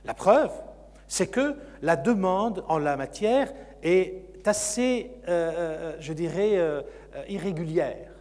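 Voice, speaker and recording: A man's voice: high-pitched, animated, slow, a little mellow. A man who is a university lecturer, answering audience questions at a conference, hesitant with many fillers and repeated words. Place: conference hall. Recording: long reverb of a lecture hall.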